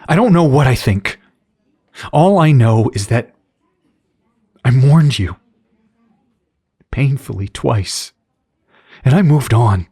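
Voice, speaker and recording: A grieving male superhero in an audio drama. He speaks slowly in a rough, breathy voice, halting and guarded, in a dry recording. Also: mid-range voice, warm tone, no echo. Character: Scott, grieving, stunned, mistrustful male superhero.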